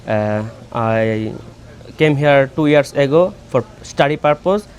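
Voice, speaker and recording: Bangladeshi-accented man, halting speech. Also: medium pitch, expressive, darkish tone. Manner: halting, with frequent fillers. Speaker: international student and delivery worker.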